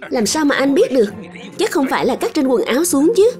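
A woman's voice: high-pitched, very animated, fairly quick, a little mellow, faint music behind. A woman voicing an easygoing, reasonable father in a dubbed children's cartoon. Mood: reasoning.

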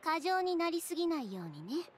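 A woman playing a cool, proud, sharp-tongued young seamstress in a game, curt and self-assured. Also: very high voice, big pitch swings, a little fast, slight background noise. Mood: curt, confident.

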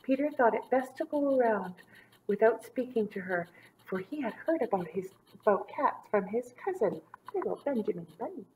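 A woman in her thirties reading a children's story aloud with dramatic emphasis and drawn-out words. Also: on the high side, expressive, a little mellow, slight background noise, rough recording. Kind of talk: expressive storybook read-aloud for young children. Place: small indoor room set up as a classroom.

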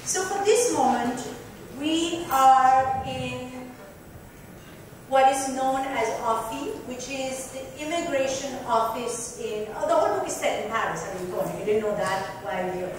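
A woman's voice: sits high, a little slow. A woman giving an informal, emphatic, chatty introduction to a book for an audience. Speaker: author introducing her book at a reading. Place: club lounge with seated panel, indoors. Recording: echoey room, distant mic, clear noise.

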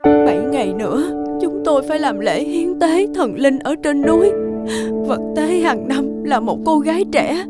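A woman's voice: high voice, expressive, moderate pace. A woman voicing a grieving village mother in a fairy-tale cartoon, crying as she speaks. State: tearful, crying. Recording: loud music behind the voice.

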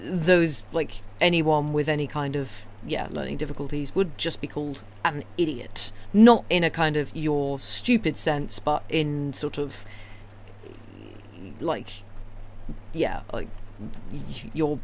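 A woman's voice: deep voice, slow pace. Woman chatting over background noise. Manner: wry, opinionated, enthusiastic bookish talk. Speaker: livestream host who reads classic novels aloud. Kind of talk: casual rambling chat with a live audience. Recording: clear steady background noise.

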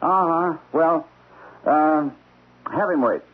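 A man's voice: a little high, slow. Male stockbroker, nervous, guilt-ridden, radio mystery, narrow muffled audio, faint hiss. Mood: anxious. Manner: anxious, questioning. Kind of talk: radio mystery drama acting. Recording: muffled, noisy old radio broadcast.

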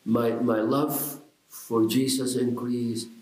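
An older man from Iraq gives a personal testimony straight to the camera, talking earnestly and haltingly with repeated words and fillers. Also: mid-range voice, little pitch movement, a little mellow. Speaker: pastor. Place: indoors, in front of a bookshelf. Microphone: camera at close range. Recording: echoey room.

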